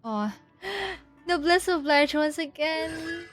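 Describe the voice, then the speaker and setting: A woman's voice: high-pitched, expressive, slow pace, a bit bright, faint music behind. A woman giving casual live commentary as she plays a video game, thinking aloud in short murmured reactions. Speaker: streamer playing a video game.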